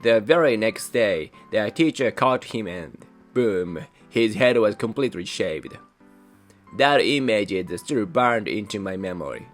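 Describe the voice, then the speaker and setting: A young man from Japan, a native Japanese speaker with a Japanese accent, hosting his channel in measured, careful scripted narration. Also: lowish voice, lively intonation. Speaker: channel host. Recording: soft music behind voice.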